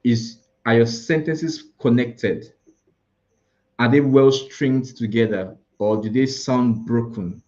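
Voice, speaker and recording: A man's voice: mid-range voice, slow, a little mellow. Nigerian guy tutoring ielts live, lots of restarts n examples, muffled lo-fi audio. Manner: explanatory, listing examples, frequent restarts. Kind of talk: live online class.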